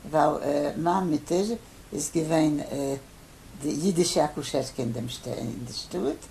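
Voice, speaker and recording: An older man who grew up in Poland, his first language Polish, answering interview questions haltingly with many pauses and fillers. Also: a little high, vocal fry, dark tone. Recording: faint background noise.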